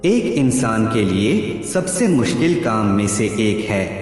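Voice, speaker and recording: Echoey male narrator, lively pitch. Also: takes it slowly. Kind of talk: scripted narration of psychology facts. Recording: heavy echo, long reverb, background music. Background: music.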